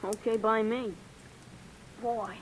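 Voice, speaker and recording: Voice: mid-range voice, steady tone, slow, slight background noise, natural voice, low-quality recording. A performer voicing a defiant, cocky young street boy in a 1950s radio drama, full of slangy, sassy comebacks. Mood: sleepy.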